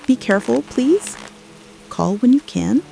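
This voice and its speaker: A woman playing an anxious, loving wife and mother in a scripted radio drama, speaking haltingly and intimately, as if pleading. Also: a little high, animated, vocal fry, warm tone, a little hiss or hum, full character voice, low-quality recording.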